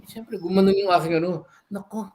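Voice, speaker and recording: High-pitched older Filipino man reminiscing. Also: fairly even intonation, a little fast, background noise. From Philippines. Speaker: guest, veteran radio DJ and record store owner. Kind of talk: casual reminiscing chat.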